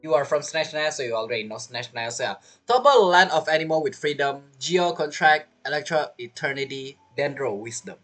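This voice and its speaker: Woman streaming a game, really low voice, chatty off the cuff reactions, faint music behind. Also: expressive, a little fast, few pauses. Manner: chatty, reacts aloud in loose fragments. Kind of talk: casual game commentary.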